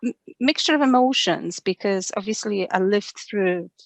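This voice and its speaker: A woman in her forties with a Polish accent, talking reflectively and casually, often halting and repeating words. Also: medium pitch, creaky, no echo. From Poland. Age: 40s. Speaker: interview guest, speech and language therapist.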